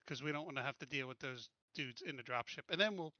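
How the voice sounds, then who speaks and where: A man's voice: medium pitch, animated, fast talker, bright, forceful tone, no echo, muffled recording. A man talking casually as a tabletop game player, thinking aloud while he plans his moves.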